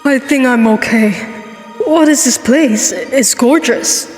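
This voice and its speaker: Cartoon pony princess played by a woman: young, highish, casual, skeptical, questioning, reverberant with faint music. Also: takes it slowly, a little mellow. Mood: curious, wary. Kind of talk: cartoon comic dub. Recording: reverberant hall sound, music behind.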